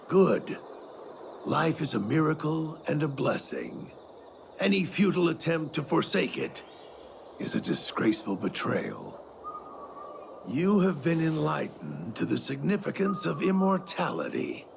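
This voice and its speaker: A man acting as a zealous cult disciple and former spearman in a video game, with a gravelly voice and deliberate, solemn, theatrical delivery. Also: fairly high pitch, wildly swooping pitch, a little hiss or hum, exaggerated voice. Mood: fervent, reverent. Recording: loud music under the voice.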